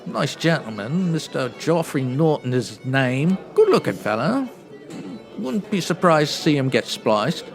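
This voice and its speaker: A chummy Cockney pub landlord in a comedy radio play. He gossips freely in matey slang, his pitch swinging in a lively way over faint music. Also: a little slow. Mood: gossipy, admiring. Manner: chummy, colloquial. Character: friendly Cockney bartender, male, chatty, protective of a local lady. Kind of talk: comedy audio drama acting.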